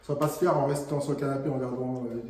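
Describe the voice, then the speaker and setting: A man's voice: medium pitch, little pitch movement, very fast, darkish tone. A man from French Guiana, an investor guest speaking earnestly and motivationally in a casual interview, with frequent hesitant fillers. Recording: echoey room.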